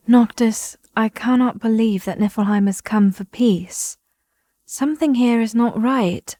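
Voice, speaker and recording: A woman playing a grave, earnest young noblewoman oracle with a formal, measured manner in an audio drama. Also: a little high, mellow, no echo. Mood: doubtful.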